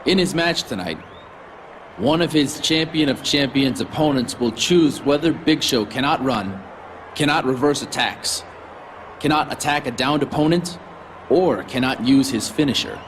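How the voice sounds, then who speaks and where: A man's voice: medium pitch, expressive, normal speed, lightly acted. A man playing a smug wrestling sidekick, delivering a scripted, mocking and boastful promo for his giant friend. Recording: noisy game capture with background ambience.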